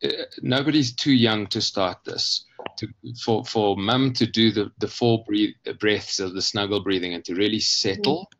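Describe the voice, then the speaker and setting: A man explaining his ideas conversationally as an interview guest, thoughtful and halting, often pausing mid-phrase to rephrase. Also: fairly low pitch, a bit bright, a little hiss or hum. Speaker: guest, children's book author.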